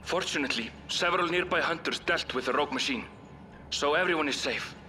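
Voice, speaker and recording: Earnest, bright, high-voiced young game hero. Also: male voice, fast talker, a little hiss or hum. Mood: urgent, worried. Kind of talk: video game cutscene voice acting.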